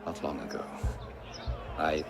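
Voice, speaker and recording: A man's voice: rumbling low, unhurried, gravelly, over loud music, over a noisy crowd, exaggerated voice. A man playing an anxious, secretive captive silversmith in a video game, polite and hesitant in his speech. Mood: uneasy, hesitant. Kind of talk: cinematic video game acting.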